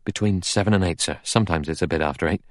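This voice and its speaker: A man's voice: deep voice, quick pace, dry recording, clean studio sound, close to an everyday voice. A man voicing a subdued, polite butler in an audiobook, answering deferentially. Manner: polite, servile.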